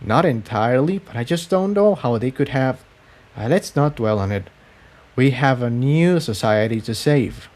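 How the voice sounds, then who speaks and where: A man's voice: mid-range voice, expressive, moderate pace, a little mellow, lightly acted. A man voicing a serious, burdened male pony in a fantasy adventure story, earnest and brooding as he explains. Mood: resigned explaining. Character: earnest, burdened male pony, former water department head.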